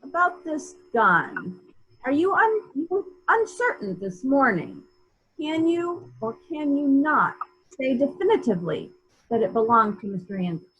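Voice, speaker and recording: A woman playing a brisk, authoritative police inspector, questioning in a measured, probing way in a murder mystery reading. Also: sits high, sing-song, fairly bright tone, husky, highs cut off, exaggerated voice.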